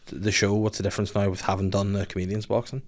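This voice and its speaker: A man's voice: lowish voice, level tone, fast talker. A man, hosting a casual podcast chat, joking and swearing freely. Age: 30s. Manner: jokey, swears freely, acts out quotes. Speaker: podcast host.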